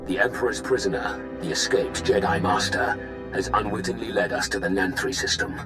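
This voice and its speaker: A man plays a proud, ruthless warlord from a space fantasy game. His voice is very low and his delivery is solemn and commanding. Music swells clearly behind him. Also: close to an everyday voice. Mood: grave authority. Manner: solemn, imperious, measured. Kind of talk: dramatic video game acting.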